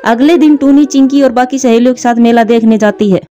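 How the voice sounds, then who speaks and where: A woman's voice: a little high, a little fast, mellow, muffled recording, faint music behind. A woman narrating a scripted children's story.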